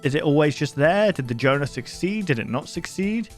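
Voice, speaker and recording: A man's voice: a little high, expressive, brisk, soft background music. A man giving casual reaction commentary as a video host, thinking aloud in a puzzled, questioning, rambling way.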